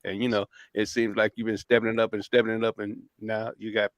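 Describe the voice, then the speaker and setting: A man's voice: lowish voice, flat delivery, fast, dry recording. A man co-hosting a casual talk show, reacting briefly and giving encouraging advice. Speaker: co-host.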